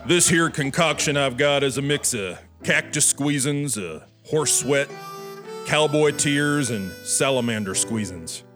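A man playing a folksy, rambling old cowboy host with an American drawl and a deadpan manner. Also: mid-range voice, close to an everyday voice. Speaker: host of a comedy sketch show. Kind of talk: folksy comic monologue linking sketches. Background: guitar and harmonica music.

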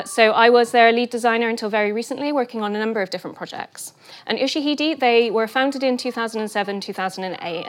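A woman giving a lunchtime lecture, a little croaky from a cold, explaining terms slowly with fillers and restarts. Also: on the high side, slight background noise. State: getting over a cold, a bit croaky. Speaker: guest lecturer. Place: lecture room.

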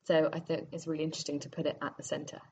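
A woman's voice: deep voice, little pitch movement, racing, darkish tone, a little hiss or hum. A woman of twenty-five, a cast member, thoughtful and a little giggly, talking in a rehearsal room. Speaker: actor in the cast. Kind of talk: casual group interview.

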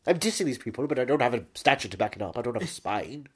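A Welsh man trading absurd jokes and deadpan boasts in comic banter. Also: mid-range voice, very fast, breathy edge. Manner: playful, deadpan boasting, silly wordplay.

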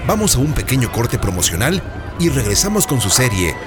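A Mexican man presents a radio series from a script. His medium-pitched voice swings widely in intonation, with prominent music underneath. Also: very animated, a little fast.

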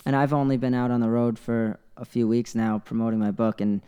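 Mellow, clear American male interviewer. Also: medium pitch, steady tone, a little fast. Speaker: interviewer, podcast host. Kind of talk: casual interview chat.

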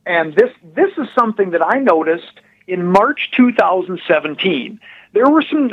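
Muffled phone line, man, high voice, animated political guest, emphatic and precise, conversational storytelling. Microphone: telephone. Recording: dull, narrow phone line.

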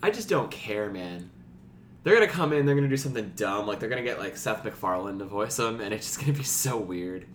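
Fast-talking, blunt, lively man. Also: fairly low pitch, a little hiss or hum. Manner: blunt, grumbling. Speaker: podcast co-host. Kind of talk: casual, profane opinion chat.

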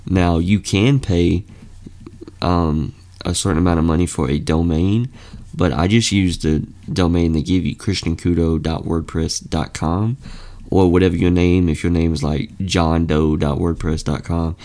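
A man's voice: sits low, fairly even intonation, measured pace, mellow. A young man in his late teens hosting a podcast, talking earnestly and casually with lots of fillers and restarts. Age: young adult. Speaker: podcast host. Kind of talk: casual motivational podcast talk.

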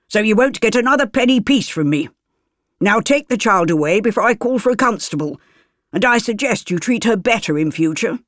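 A woman voicing a stern, formal older gentleman who once served as a magistrate. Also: mid-range voice, no room sound, studio quality, natural voice.

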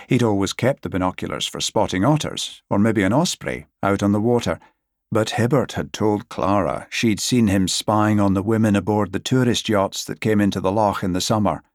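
A man's voice: lowish voice, darkish tone, no echo, clean studio sound. A man reading a novel aloud as scripted audiobook narration.

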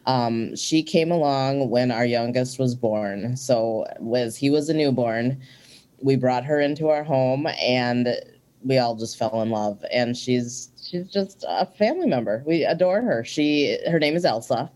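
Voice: medium pitch, unhurried, a little mellow. A guest in their forties from Minnesota, talking earnestly and reflectively in a casual interview, with frequent ums.

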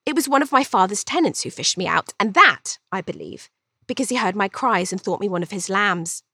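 Woman as vain young Regency Englishwoman, arch and chatty, theatrical audiobook narration, bright tone, close dry sound. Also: mid-range voice, lively intonation, brisk, breathy edge, dry recording, exaggerated voice. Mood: wry, confiding. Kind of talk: first-person audiobook storytelling.

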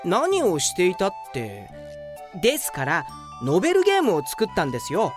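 A woman's voice: lowish voice, wildly swooping pitch, moderate pace, over music. A woman playing an earnest, delusional young male office geek in a comedy radio drama, polite yet pompous and theatrical. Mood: deadpan, self-important.

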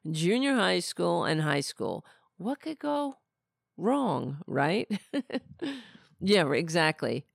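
A woman's voice: lowish voice, vocal fry, no room sound, clean studio sound. A middle-aged woman hosting a podcast, losing her voice, talking in a rambling, sardonic, blunt way. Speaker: podcast host. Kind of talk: casual political commentary.

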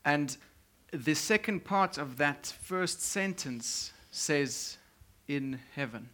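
Slow man preaching in short phrases. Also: on the high side, animated, fairly bright tone. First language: Afrikaans. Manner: speaks in short phrases, pausing for translation. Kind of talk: sermon with live interpreter.

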